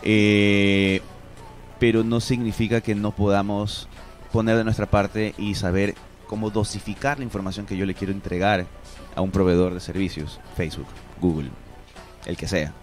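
A man's voice: fairly low pitch, level tone, moderate pace. An Ecuadorian man, middle-aged, explaining his opinions emphatically in a radio discussion, close on a studio microphone. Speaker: radio show guest. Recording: music playing behind the voice. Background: music.

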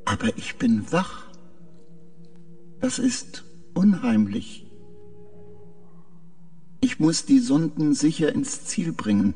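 A man playing a confused, haunted artificial spaceship mind in a science fiction audio drama, speaking haltingly in short hesitant answers. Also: fairly high pitch, wildly swooping pitch, a little mellow, full character voice. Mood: dreamy, uneasy. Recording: distant-sounding, with music behind.

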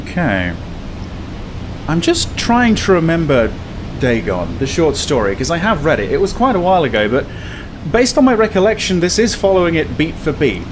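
Wry, animated man commentating over noisy ambience. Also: a little high, normal speed. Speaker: gaming video host. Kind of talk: casual gameplay commentary. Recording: very noisy game ambience behind.

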